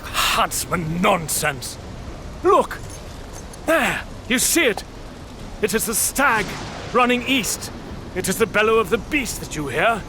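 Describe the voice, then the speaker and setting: An actor playing a proud, ruthless aristocratic lord in a gothic audio drama, speaking in an imperious, declamatory way. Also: male voice, sits high, big pitch swings, slow pace, long pauses, music in the background, heavily acted. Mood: defiant, angry.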